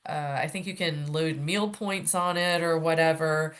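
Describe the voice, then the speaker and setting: A woman's voice: low voice, fairly even intonation, unhurried. A woman with a head cold, sniffling as she hosts a casual virtual orientation panel, talking loosely with many fillers over a video call. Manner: chatty, rambling, many fillers. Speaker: orientation host, graduate life staff.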